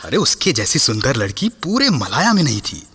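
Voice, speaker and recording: An actor playing an imprisoned freedom-army soldier, a devoted husband and teasing comrade, in a radio drama. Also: male voice, medium pitch, wildly swooping pitch, normal speed, sharp tone, breathy edge, long reverb tail, exaggerated voice. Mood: teasing, fond.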